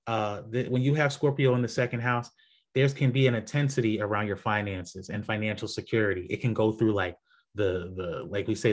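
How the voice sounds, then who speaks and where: A man leading an online group session on astrology, talking casually with many fillers and restarts. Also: on the low side. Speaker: astrology presenter.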